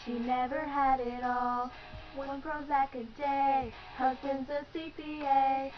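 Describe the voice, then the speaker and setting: Young woman singing along to a pop rock track, high voice, music playing loud, muffled home cam sound. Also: level tone, slow pace. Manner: playful, giggly. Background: pop-rock song playing.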